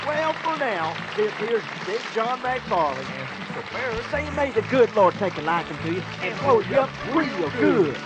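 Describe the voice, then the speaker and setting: A man's voice: sits high, very animated, normal speed, few pauses, very noisy. A man voicing a giddy, whooping folksy farmer co-host with a rural American accent in a parody radio sketch. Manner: folksy drawling phrases, whooping. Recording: loud music and crowd behind voice.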